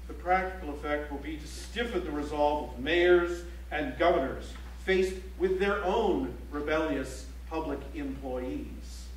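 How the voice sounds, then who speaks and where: A man playing a grave, pointed political chronicler, reciting formally on stage in a measured, emphatic way. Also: on the high side. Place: library auditorium stage. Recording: echoey auditorium, distant mic, faint hum.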